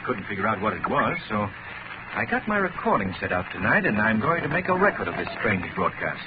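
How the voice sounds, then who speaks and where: A shrewd gentleman detective in a 1930s radio drama. He explains things urgently and crisply with swooping, lively pitch. The old recording is narrow and clearly noisy. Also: fairly quick. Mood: intrigued.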